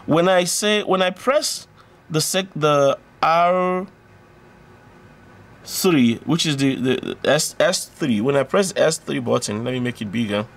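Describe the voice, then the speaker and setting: Man with creaky voice explaining electronics. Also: a little high. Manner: repetitive, halting explaining with self-corrections. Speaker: electronics tutorial presenter. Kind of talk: informal step-by-step explanation.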